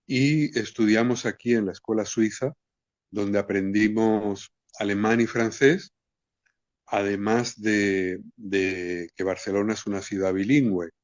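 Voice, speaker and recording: A Cuban man with a Cuban Spanish accent answers interview questions slowly and thoughtfully, often restarting his sentences, speaking from home over a video call. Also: fairly low pitch, a little mellow, no room sound. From Cuba. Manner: slow and reflective, with many restarts. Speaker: guest poet. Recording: dull lo-fi call audio.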